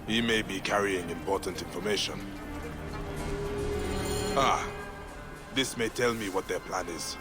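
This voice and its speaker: A man acting a grim but kind Egyptian warrior and protector in a video game, speaking in a measured, formal way. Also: sits low, over loud music. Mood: determined.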